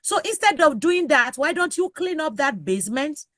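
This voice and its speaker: A woman's voice: high voice, sing-song, moderate pace, a bit bright, dry recording, studio quality. A woman, a pastor, advising on a panel talk show, listing examples one by one and urging listeners directly. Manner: lists examples in sequence, urges the listener directly.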